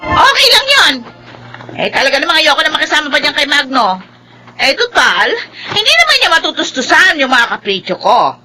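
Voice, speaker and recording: An actress playing a brash, materialistic woman in a Filipino radio drama, loud, sassy and full of exclamations. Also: sits high, huge pitch swings, fairly quick, full character voice, poor audio. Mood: brazen, dismissive. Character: brash, materialistic woman leaving her broke lover.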